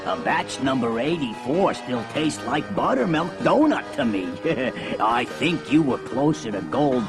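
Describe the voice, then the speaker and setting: A slow-witted creature sidekick, drawling along at an unhurried pace. He is easygoing and hesitant, a classic children's cartoon henchman, with loud music behind him. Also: mid-range voice, lively intonation. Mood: slow, amused. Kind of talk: children's story cassette voice acting.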